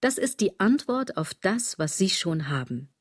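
A woman's voice: medium pitch, big pitch swings, measured pace, slightly rough, dry recording, clean studio sound. An actress playing a sardonic, aging woman in a literary radio drama, speaking in an ironic, declamatory way. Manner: ironic, declamatory, wordplay-laden delivery. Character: sardonic aging woman musing on fashion and consumerism.

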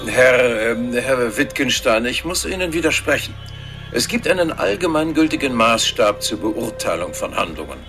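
An actor in a radio drama playing an earnest, learned philosophy professor, formal, slightly hesitant and didactic. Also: male voice, mid-range voice, big pitch swings, normal speed, music in the background. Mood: earnest, objecting.

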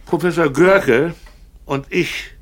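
An actor playing a self-assured German professor who chairs an engineers' meeting in a brisk, commanding, formal manner in a radio drama. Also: male voice, a little high, fairly bright tone, a little hiss or hum. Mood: placating, proud. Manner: commanding, orderly, addresses the room formally.

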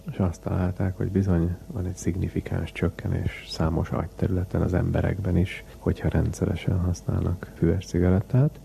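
A man's voice: low voice, gravelly, mellow. A man speaking as an interviewed researcher, explaining science in a detailed, methodical way.

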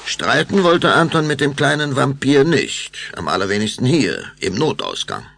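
A male narrator with a deep, gravelly voice tells a story. His measured, suspenseful delivery rises and falls dramatically. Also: fairly bright tone.

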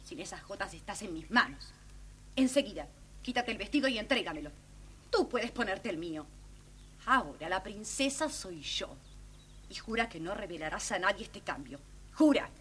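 A woman's voice: medium pitch, animated, normal speed, pauses often, a bit bright, lightly acted. A woman playing an insolent, scheming young maidservant with haughty, bossy ways in a children's audio storybook. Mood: triumphant, commanding. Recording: noisy vintage children's record.

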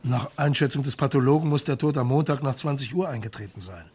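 Actor as a sober, measured police investigator. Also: male voice, medium pitch, a little mellow, breathy edge, lightly acted. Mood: matter-of-fact. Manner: measured, matter-of-fact, probing. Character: sober, reserved male Italian police marshal investigating. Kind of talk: German radio crime drama acting.

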